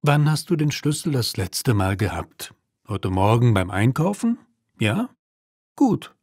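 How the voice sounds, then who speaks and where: Man as soothing husband, methodical, expressive pitch swings, middle-pitched, lesson dialogue. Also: unhurried, husky, no echo, clean studio sound. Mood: calm, questioning. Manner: soothing, instructive. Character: calming, patient, methodical husband. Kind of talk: acted language-learning dialogue.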